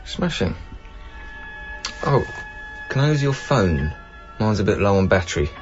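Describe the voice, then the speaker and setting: A man's voice: lowish voice, big pitch swings, measured pace, soft background music. Patient, practical younger man. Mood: practical, coaxing. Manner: patient, persuasive, explanatory. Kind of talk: naturalistic radio drama acting. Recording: dull, band-limited radio broadcast recording.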